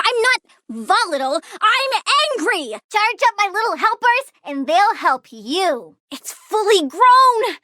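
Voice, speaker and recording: Childlike game villainess, plant powers, extremely high voice, dry close sound, blunt, sulky, gleefully menacing. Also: female voice, sharp tone, no echo, exaggerated voice. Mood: sulky, annoyed. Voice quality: girlish, childlike. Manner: bratty, blunt, mischievous. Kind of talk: video game character voice lines.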